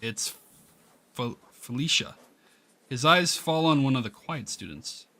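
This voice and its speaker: A man's voice: mid-range voice, animated, a little slow, sharp tone. A man streaming a visual novel, reading its text aloud with casual asides and often stumbling and rereading lines. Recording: music playing behind the voice. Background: game background music.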